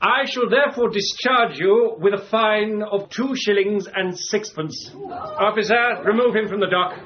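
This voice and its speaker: Severe magistrate, high-pitched, very deliberate pace, pompous period radio drama. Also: male voice, dull audio, a little hiss or hum. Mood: indignant, severe. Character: stern, indignant Edwardian English magistrate. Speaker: actor in a radio play.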